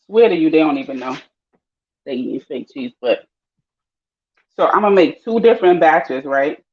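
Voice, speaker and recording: Chatty Panamanian woman, lo-fi audio. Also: moderate pace. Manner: lively, chatty, slangy, swings high when excited. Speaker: vlogger. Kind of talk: casual live-stream chat while cooking. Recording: dull lo-fi audio.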